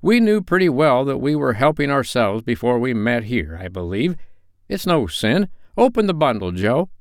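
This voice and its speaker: A man's voice: mid-range voice, very animated, moderate pace, no echo, studio quality, pitched above the performer's own voice. A man voicing a bold, defiant Victorian charwoman with a brash, scornful way of talking.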